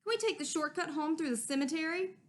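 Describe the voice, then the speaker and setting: A woman's voice: high-pitched, lively intonation, fast, slight background noise, pitched above the performer's own voice. A woman reading a storybook aloud, voicing a young girl, a little sister who speaks in a matter-of-fact way.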